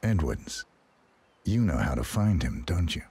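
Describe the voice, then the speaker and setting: A man's voice: deep voice, big pitch swings, a little slow, a little mellow, slightly rough, heavily acted. An actor playing a stoic, menacing hitman in a cinematic video game, speaking tersely and bluntly. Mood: terse, determined. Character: stoic, menacing male professional assassin, terse and controlled.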